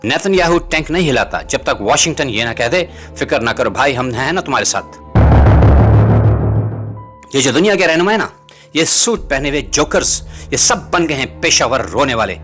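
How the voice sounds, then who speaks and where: A man's voice: mid-range voice, animated, normal speed. A man reading scripted political commentary as a host, in a rhetorical, bitingly sarcastic manner. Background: music.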